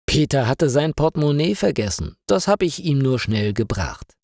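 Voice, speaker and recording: A casual baker's wife in an audio story, read by a male narrator. She explains things plainly and factually. The intonation moves freely, with a slightly husky tone in a dry recording. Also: mid-range voice, very animated, normal speed, a little mellow, no room sound, voice pushed up. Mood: matter-of-fact. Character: baker's wife, casual and matter-of-fact woman.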